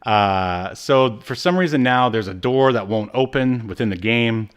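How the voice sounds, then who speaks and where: A man's voice: medium pitch, measured pace, clean tone. A man co-hosting a podcast, chatting casually through a recap with rambling, jokey asides. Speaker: podcast co-host. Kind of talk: casual chat, recapping a TV episode.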